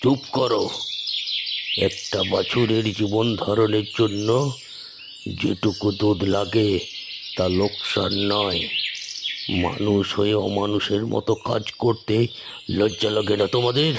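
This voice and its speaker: Guy doing a stern tantric holy man for a cartoon, really slow, lowish voice, solemn and bossy. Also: steady tone, gravelly, background noise. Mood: angry scolding. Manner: commanding, admonishing, solemn. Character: stern, righteous village tantric holy man scolding villagers. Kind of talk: Bengali cartoon story dialogue.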